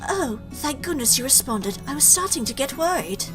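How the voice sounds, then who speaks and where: A woman voicing a caring, motherly goat monster from a visual novel, gentle, concerned and formal in her wording. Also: high voice, sing-song, moderate pace, over music. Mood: worried, relieved. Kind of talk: visual novel dialogue read aloud.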